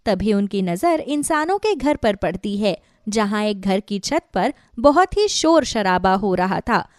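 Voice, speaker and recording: A woman's voice: medium pitch, sing-song, a little slow, dry recording, clean studio sound. A woman narrating a scripted children's cartoon story.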